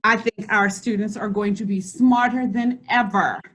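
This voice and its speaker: A woman hosting a children's read-aloud, encouraging and slow, stretching words as she reads. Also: medium pitch, fairly even intonation. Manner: warm, encouraging, slows and stretches words when reading. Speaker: school district host reading to children. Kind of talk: read-aloud lesson and chat.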